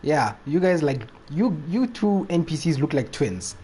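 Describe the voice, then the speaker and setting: A man's voice: a little high, sing-song, measured pace, a crowd in the background. A man chatting casually and jokily on a game livestream, reacting in slangy, loose phrases. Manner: jokey, slangy, often chuckling. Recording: faint background noise with game sound.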